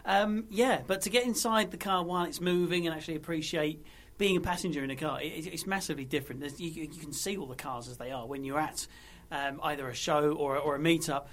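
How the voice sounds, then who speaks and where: A man's voice: fairly high pitch, quick pace, a bit bright. A man co-hosting a podcast, chatting casually and tripping over repeated words. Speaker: podcast co-host.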